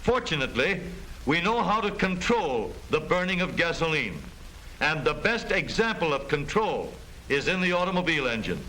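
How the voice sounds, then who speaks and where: A man with an American accent narrates in the style of a 1930s educational film. His voice sits high and swings animatedly as he explains with formal emphasis. The soundtrack is vintage, with a faint layer of noise. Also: very animated, normal speed, pushed and bright, rough recording.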